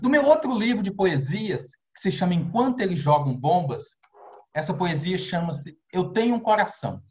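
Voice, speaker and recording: A man from Minas Gerais with a Brazilian accent, reading his prose and poetry aloud with expressive rises on key lines, over a video call. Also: normal speed. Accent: Brazilian Portuguese. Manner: expressive recitation, rising pitch on key lines. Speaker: poet and novelist.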